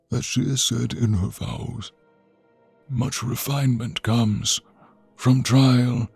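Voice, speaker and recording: A formal fantasy archbishop acted by a man with a gravelly, husky voice. He intones ceremony in slow, measured phrases, as in an audio drama, over faint music. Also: medium pitch, a little mellow, dry recording, heavily acted. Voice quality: husky, creaky. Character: solemn old-fashioned archbishop officiating a royal wedding. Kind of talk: fantasy audio roleplay acting.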